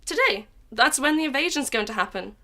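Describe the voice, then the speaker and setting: A woman's voice: high-pitched, animated, fairly quick, sharp tone, exaggerated voice. A woman playing a breezy, gossipy friend in a radio drama. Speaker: actor in a student radio drama.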